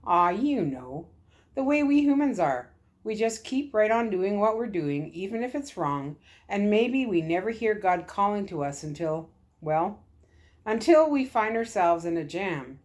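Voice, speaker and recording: A woman voicing a calm, kindly military lieutenant in a story, gentle and earnest. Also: medium pitch, a little slow. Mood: gentle, reassuring.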